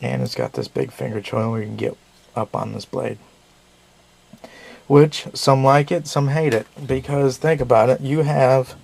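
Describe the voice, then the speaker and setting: A man's voice: mid-range voice, creaky, a little mellow. A man, a little sick and losing his voice, giving a rambling casual knife review with frequent self-corrections.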